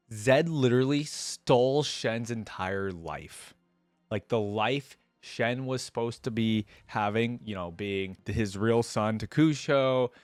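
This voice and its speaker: A man's voice: lowish voice, no echo. A man giving casual reaction commentary, reading aloud and adding informal, exclaiming asides as host.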